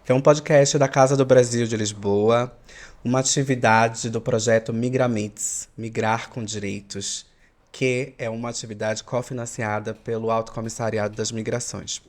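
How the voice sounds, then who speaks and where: A man's voice: medium pitch, steady tone, normal speed. A man co-hosting a podcast, who opens the episode and asks his questions in a rambling, repetitive way. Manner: rambling, repeats himself while framing questions. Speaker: podcast co-host.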